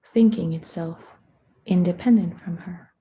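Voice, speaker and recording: A woman guides a meditation in a very breathy, hushed voice with a deeply mellow, dark tone. She speaks slowly and soothingly, leaving long pauses between short phrases. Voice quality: soft, breathy.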